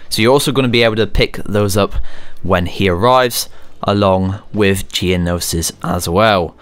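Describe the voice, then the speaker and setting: A man's voice: low voice, fairly even intonation, normal speed, no echo. A man presenting semi-scripted gaming news as a channel host, speaking to viewers directly and informally.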